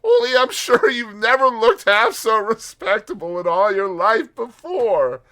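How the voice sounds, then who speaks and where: A man's voice: high-pitched, very animated, a little slow, pushed and bright, pitched above the performer's own voice, heavily acted. A man voicing a teasing, bossy young woman with a giggly manner in a storybook. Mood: giggling.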